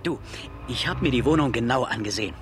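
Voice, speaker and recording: A man's voice: mid-range voice, lively intonation, fast talker, over music, close to an everyday voice. An actor playing an earnest, irritable male teacher in a radio drama. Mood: earnest, tentative. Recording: loud vehicle noise around the voice.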